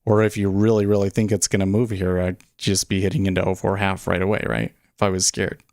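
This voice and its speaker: Low-voiced man chatting on a trading livestream. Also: flat delivery, fairly quick, darkish tone, no room sound, studio quality. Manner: conversational, often checks in with "right?". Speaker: livestream host, day trader. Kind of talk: casual livestream chat, thinking aloud.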